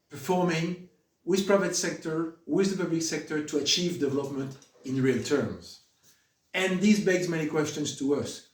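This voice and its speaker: A man's voice: on the high side, a little mellow. French-accented man speaking in an echoey room. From France. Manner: earnest, halting with frequent fillers. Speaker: book discussion speaker. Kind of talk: formal spoken introduction. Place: room with an audience. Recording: echoey room, mic at a distance.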